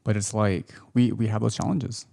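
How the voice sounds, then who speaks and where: A man co-hosting a podcast who talks casually in a calm, reflective way. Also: lowish voice, moderate pace, vocal fry. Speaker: podcast co-host. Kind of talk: casual chat.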